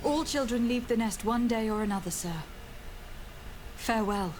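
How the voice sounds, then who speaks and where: A woman's voice: on the high side, normal speed, natural voice. Actress as composed diplomatic noblewoman, noisy backdrop. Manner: formal, courteous, firm. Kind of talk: video game voice acting. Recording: clear background noise from game ambience.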